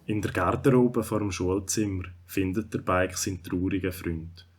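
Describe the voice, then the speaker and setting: A man's voice: sits low, moderate pace, slight background noise. A man with a Swiss German accent narrating a scripted children's story.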